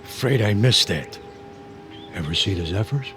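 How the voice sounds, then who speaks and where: A man's voice: lowish voice, lively intonation, moderate pace, background noise, natural voice. An actor playing a nostalgic middle-aged working man who talks in a folksy, clipped way in a radio drama. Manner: folksy, reminiscing, clipped casual phrasing.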